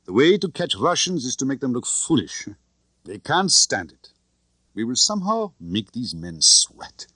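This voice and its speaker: A man's voice: mid-range voice, big pitch swings, a little slow, sharp tone, no room sound, exaggerated voice. A man voicing a tough, cheerful, confident male spy chief in an audiobook, with expansive, theatrical phrasing. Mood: confident, scornful.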